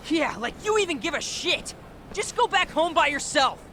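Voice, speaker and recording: A man's voice: very high-pitched, very animated, normal speed, bright, forceful tone, slight background noise, full character voice. An actor playing a hot-headed, defiant teenage boy in a game cutscene, talking in a brash, slangy way. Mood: angry, defiant. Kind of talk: dramatic game cutscene dubbing.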